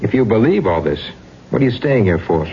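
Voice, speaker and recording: An actor playing an exasperated but devoted young lawyer boyfriend in a 1970s radio drama, colloquial and incredulous. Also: male voice, lowish voice, sing-song, a little fast, breathy edge, full character voice. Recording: muffled, noisy 1970s radio recording.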